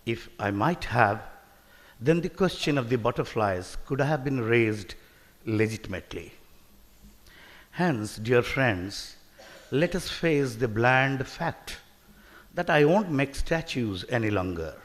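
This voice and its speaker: A man reading his poem in a slow, wry declamation at a literature festival. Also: medium pitch, animated. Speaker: poet. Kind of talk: poetry reading. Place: festival hall. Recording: long hall reverb.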